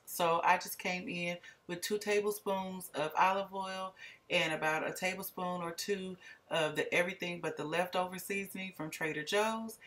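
Middle-aged woman vlog host, lower voice, wry and candid, casual voice-over narration. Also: fairly bright tone.

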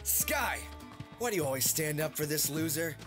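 A man's voice: on the high side, animated, moderate pace, music in the background, lightly acted. A man playing a taunting, cocky boy bully with a mocking manner in a fantasy audio drama. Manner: mocking, sneering. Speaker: voice actor.

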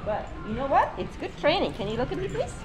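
Lively woman coaching her dog. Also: sits high, fast, music in the background. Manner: lively, cheery praise and coaxing to a dog. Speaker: service dog handler. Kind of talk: casual vlog chat while training a dog. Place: indoor shopping mall and stores. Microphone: action camera worn low on a dog. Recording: loud mall background noise.

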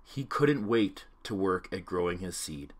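A man narrating a children's storybook read-along with clear, expressive delivery. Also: deep voice, a little slow.

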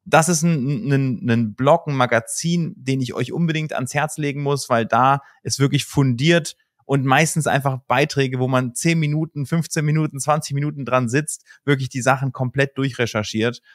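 Quick, upbeat male host, dry sound. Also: medium pitch, no echo. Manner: quick, enthusiastic, addresses viewers. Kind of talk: casual interview hosting. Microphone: studio microphone on a video call.

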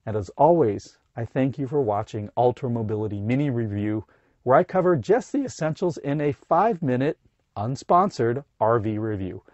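A middle-aged man with a hoarse voice from a cold, reading a scripted camper van review inside a van. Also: medium pitch, moderate pace, no room sound, dull audio. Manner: steady, informative, teleprompter reading.

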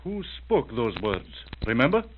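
Grave questioner, tinny old radio. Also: male voice, medium pitch, big pitch swings, measured pace. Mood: questioning. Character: grave, courteous male questioner breaking bad news. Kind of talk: 1940s radio drama acting. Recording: narrow, tinny, hissy old radio recording.

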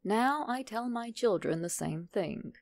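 A woman narrating a horror story in a measured, deliberate, dramatic way. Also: fairly high pitch, expressive, darkish tone.

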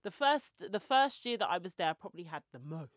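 A woman's voice: lowish voice, big pitch swings, brisk, no room sound, clean studio sound. Chatty woman in her forties. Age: 40s. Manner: chatty and rambling, with tangents and self-mocking asides. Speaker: podcast host. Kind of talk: casual chatty monologue. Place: small indoor room with shelves and clothes rails. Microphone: handheld mic held close.

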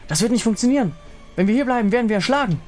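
A man voicing a tough female sentinel warrior, blunt, brisk and urgent. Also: very high-pitched, lively intonation, darkish tone, soft background music, pitched above the performer's own voice.

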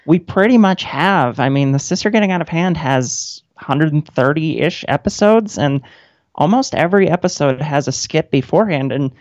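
A woman's voice: low-pitched, darkish tone, no echo, lo-fi sound. A woman podcaster and writer chatting casually as an interview guest.

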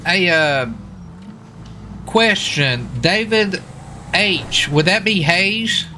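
A man role-playing a police officer in a casual, improvised game, talking in a folksy, excitable way and often repeating himself. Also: sits high, very slow. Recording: faint background noise, game sirens. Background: game sirens and vehicle sounds.

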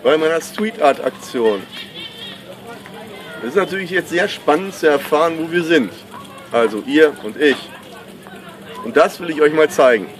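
Middle-aged man, slightly high voice, relaxed enthusiastic vlogger, outdoor camera mic with faint background noise. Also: moderate pace, pauses often, some chatter behind. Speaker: pop artist vlogging. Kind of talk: casual vlog to camera. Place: outdoors at a sunny marina. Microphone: handheld camera mic.

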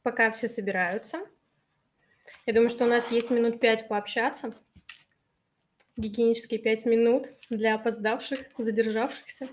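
A woman in her thirties, a little hoarse from allergies, hosting a live webinar on a video call and reading out and answering viewers' chat messages in a friendly way. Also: on the high side, steady tone, moderate pace, long pauses, a little hiss or hum. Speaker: webinar host. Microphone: webcam on a video call.

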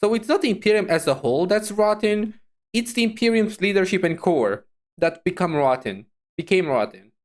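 A woman gives casual reaction commentary in a voice of middling pitch that moves up and down a lot. She muses aloud, hesitating and repeating her points with animation. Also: normal speed. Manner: hesitant, repetitive, animated musing. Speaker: VTuber reacting to a video.

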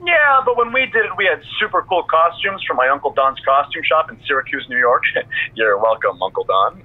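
An actor playing a cocky, goofy wrestler who co-hosts a podcast in a video game, boastful and rambling with a husky voice. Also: male voice, a little high, animated, a little fast, dry recording, phone-quality sound. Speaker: podcast co-host. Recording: close, dry radio-like phone sound.

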